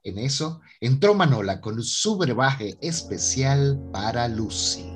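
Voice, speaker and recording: A man narrates a scripted radio soap. His delivery rises and falls widely at a middle pitch, with faint music behind him. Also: animated, slow, slightly rough.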